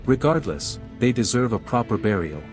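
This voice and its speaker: A man playing a calm, plainspoken adventurer and party leader in a video game. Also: medium pitch, moderate pace, soft background music, close to an everyday voice. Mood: matter-of-fact. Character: calm, level-headed male adventurer leading a party.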